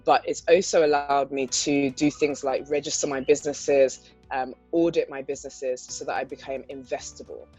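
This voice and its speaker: A woman's voice: rumbling low, steady tone, moderate pace, a little mellow, breathy edge. Thoughtful English woman with a London-area accent. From England. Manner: thoughtful, articulate, frequent "um" fillers, light laughs. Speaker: guest entrepreneur. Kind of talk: conversational interview answers. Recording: dull lo-fi audio with faint guitar music.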